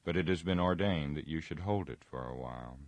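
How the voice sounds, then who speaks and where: A man's voice: very deep voice, no room sound, lo-fi sound. Aragorn, a man voicing a grave ranger king. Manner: calm, measured and grave. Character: grave, lean ranger and heir of kings.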